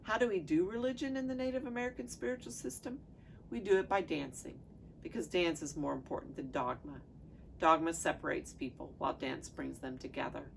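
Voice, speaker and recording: Low, creaky-voiced woman pastor reading aloud. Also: fairly quick, slight background noise. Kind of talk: reading aloud from a devotional book.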